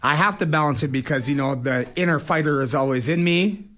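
A man's voice: on the high side, brisk, fairly bright tone. A man, speaking plainly and emphatically as a boxing promoter and fighter in a conversational interview.

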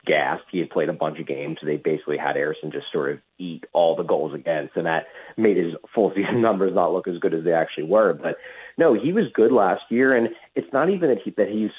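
A man speaking as a hockey reporter and radio guest, giving measured, conversational sports analysis at length over a phone line. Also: sits low, breathy edge. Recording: muffled tinny phone line.

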